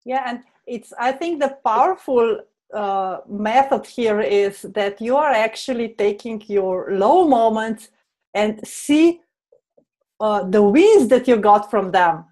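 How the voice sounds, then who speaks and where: A woman's voice: on the high side, animated, takes it slowly, darkish tone. A woman with a Slovene accent hosting a podcast interview, affirming her guest and laughing often. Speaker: podcast host.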